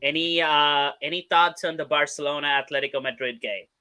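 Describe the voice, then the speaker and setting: An upbeat man hosts a casual sports podcast, his voice bright and a touch high. He keeps things moving, tossing quick questions to his co-hosts. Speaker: podcast host.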